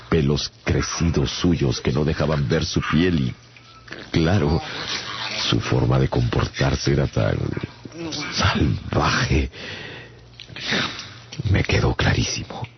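Very deep, gravelly castaway narrator. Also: male voice, noisy background, exaggerated voice. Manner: dramatic, earnest storytelling. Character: afflicted English castaway man recounting his strange voyages. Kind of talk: radio drama narration. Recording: dull sound under prominent music. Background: music.

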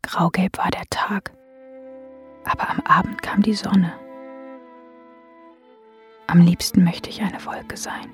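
Breathy, low-voiced, dreamy woman. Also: level tone, slow, long pauses, faint music behind, exaggerated voice. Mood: dreamy, longing. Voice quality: breathy, often half-whispering. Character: solicitous, dreamy, restless woman of the house. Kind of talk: German radio play acting.

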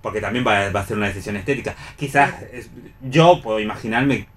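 A man with an Argentine accent in a reflective radio discussion about the arts, thinking aloud in an animated way. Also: lowish voice, fast. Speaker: radio panelist discussing theatre.

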